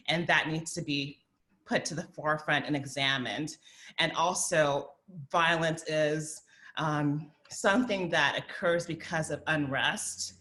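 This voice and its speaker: Woman from the American South, thirties, forum speaker, bright tone, frequent fillers. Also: low-pitched. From Southern United States. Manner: thoughtful, many fillers, quick bursts. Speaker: guest speaker. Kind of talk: forum talk and Q&A.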